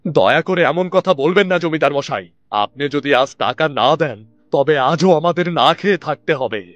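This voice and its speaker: A man's voice: a little high, animated, moderate pace, lo-fi sound, soft background music, close to an everyday voice. A man playing a timid, honest young village labourer in a cartoon, hesitant and pleading as he talks. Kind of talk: Bengali fairy-tale cartoon dubbing.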